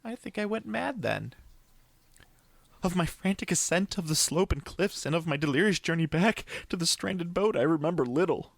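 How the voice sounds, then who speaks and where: A man's voice: fairly high pitch, animated, normal speed, gravelly, dry recording. A man voicing a haunted, morphine-addicted shipwreck survivor in an anguished, theatrical horror-story confession. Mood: frantic, unhinged. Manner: theatrical, anguished, ornate first-person confession. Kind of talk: dramatic audio horror storytelling.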